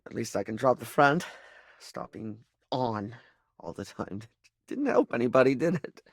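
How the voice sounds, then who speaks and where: A man voicing a flamboyant but insecure young male makeup character in a dating video game, theatrical, stammering and self-deprecating, with a breathy, creaky voice. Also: mid-range voice, expressive, moderate pace, no echo, clean studio sound. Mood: drained, hurt.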